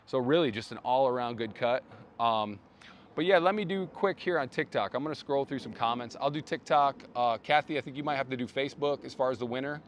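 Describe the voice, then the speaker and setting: A man hosting a casual brand livestream outdoors, rambling with many fillers and restarts, his voice going hoarse. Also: medium pitch, fairly quick, a little hiss or hum. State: losing his voice. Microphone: phone.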